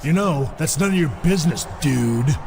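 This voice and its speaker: A cocky wrestling champion with a gravelly edge, firing back with sarcastic comebacks. His delivery swings widely in pitch over the hiss of the game audio. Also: animated, moderate pace. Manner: confident, sarcastic.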